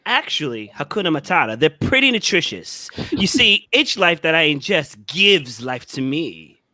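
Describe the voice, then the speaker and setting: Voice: mid-range voice, very animated, moderate pace, bright, forceful tone, no room sound. A performer reading a deranged, wheedling asylum patient in a theatrical gothic horror play. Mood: sly, manic. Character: deranged asylum patient craving living creatures, wheedling and manic. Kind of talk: amateur script read-through of a gothic horror play.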